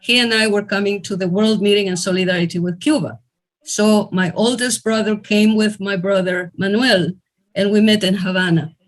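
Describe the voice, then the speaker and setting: A woman's voice: mid-range voice, steady tone, moderate pace, rough recording. A woman from Ecuador hosting an online fundraiser, telling a personal story in an earnest, heartfelt way over a video call. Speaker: event host.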